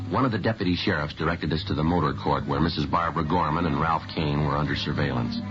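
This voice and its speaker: An actor playing a terse, weary police detective who narrates his case in clipped, matter-of-fact 1950s radio drama style. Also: extremely low pitch. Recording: muffled vintage radio recording, music behind.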